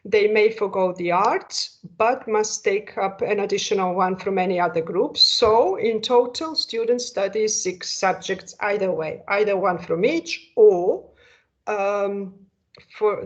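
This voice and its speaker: A woman's voice: mid-range voice, a little slow. A woman presenting an online school information session, explaining patiently and asking rhetorical questions. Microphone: video call. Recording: dull lo-fi video call audio.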